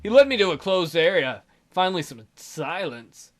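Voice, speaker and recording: A woman's voice: lowish voice, big pitch swings, normal speed. A woman let's play host reading visual novel narration aloud with irreverent, joking asides. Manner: irreverent, jokey. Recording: music behind the voice.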